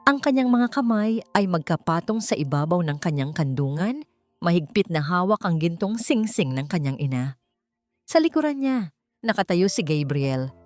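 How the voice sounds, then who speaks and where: A woman narrates a scripted emotional drama in a slightly low voice. The sound is dry and close, with faint music behind her. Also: darkish tone, dry recording. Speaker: story narrator.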